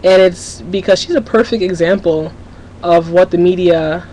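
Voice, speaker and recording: A woman's voice: on the low side, normal speed, mellow, poor audio. A teenage girl rambles to her webcam at home, candid and a little scattered, restarting sentences as her thoughts run ahead. A faint hiss sits under her voice. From Minnesota, USA. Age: teens. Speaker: vlogger. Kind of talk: casual confessional webcam vlog.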